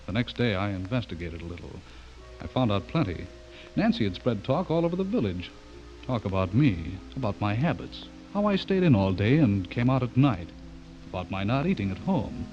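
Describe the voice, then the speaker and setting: A polite, secretive bachelor in a radio thriller. His low voice moves expressively and carries a guarded, troubled air. The sound is dull and lo-fi, with music underneath. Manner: polite, guarded, brooding when narrating. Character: courteous, uneasy older bachelor writer suspected of being a vampire. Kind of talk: radio drama acting with first-person narration.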